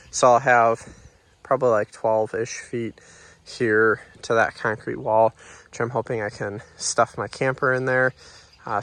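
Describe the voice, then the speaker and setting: A man's voice: mid-range voice, no echo. Stuffy-nosed man vlogging outdoors. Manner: relaxed, rambling, lots of uh fillers. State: nasal from allergies. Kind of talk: casual vlog walkthrough. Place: outdoors on a commercial lot and driving in a truck.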